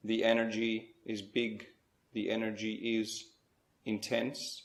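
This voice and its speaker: A man with a low voice leads a guided meditation in a very flat, even tone. He speaks in measured short phrases, leaving pauses between them. The sound is roomy, with clear echo around the voice. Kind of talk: slow guided spiritual meditation.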